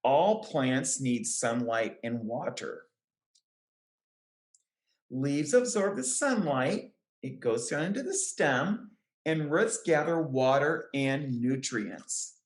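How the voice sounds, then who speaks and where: Echoey room, woman doing kids storytime read aloud, slow n careful, really up and down intonation. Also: rumbling low, lively intonation. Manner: careful, deliberate phrasing with short explanatory asides to children. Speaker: children's book reader, extension educator. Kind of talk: picture book read-aloud for young children. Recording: roomy, echoing sound.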